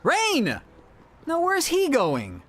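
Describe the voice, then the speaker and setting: A man's voice: very high-pitched, huge pitch swings, very slow, fairly bright tone, slight background noise, full character voice. A man playing a bitter, lovelorn struggling entertainer in a noir audio drama, wry, self-pitying and anxious, full of wisecracks. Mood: nervous, indignant.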